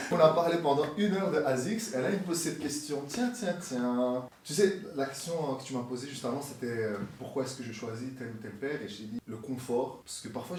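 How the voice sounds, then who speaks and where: A man chatting casually in an interview as a musician guest, relaxed and mixing in English slang, recorded in a sneaker store. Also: medium pitch, a little hiss or hum. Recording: echoey store from far off.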